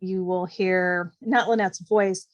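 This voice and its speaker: A woman's voice: lowish voice, fairly even intonation, takes it slowly, highs cut off. A woman speaking casually as a guest author in an interview.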